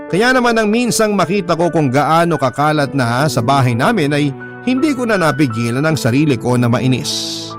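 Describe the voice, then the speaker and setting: A man with a Filipino accent reading a first-person letter with feeling, as the narrator of a radio drama. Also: fairly high pitch. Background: background music.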